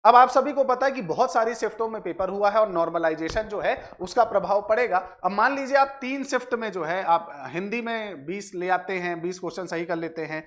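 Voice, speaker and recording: A man's voice: sits high, a little fast, hardly any pauses, fairly bright tone. A man presents exam analysis like a coach, addressing viewers directly with frequent filler phrases and check tags. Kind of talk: explanatory exam analysis for students.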